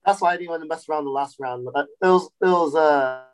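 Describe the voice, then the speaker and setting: Man telling his story, relaxed. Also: fairly high pitch, fast. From Sacramento, California. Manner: relaxed storytelling, frequent fillers and restarts. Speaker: guest chef and restaurant founder. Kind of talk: casual interview.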